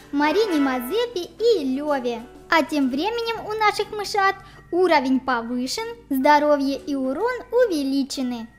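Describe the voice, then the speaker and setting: High-pitched childlike voice over music. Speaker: host of a children's gaming channel. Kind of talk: children's let's play commentary with shout-outs. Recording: music bed under the voice. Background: background music.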